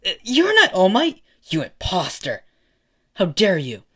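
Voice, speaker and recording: A man voicing an anxious, excitable teenage boy hero fan with a stammering, pleading manner in an amateur retelling of a story. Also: fairly high pitch, fairly quick. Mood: indignant.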